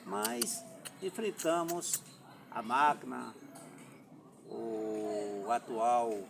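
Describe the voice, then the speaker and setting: A man in his fifties from Alagoas, a former mayoral candidate, telling his story slowly and deliberately in a podcast interview, with halting and formal phrasing. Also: mid-range voice, recorded from a distance. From Alagoas, Brazil. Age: 50s. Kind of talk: podcast interview, personal account. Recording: voice from off-mic with clear background noise.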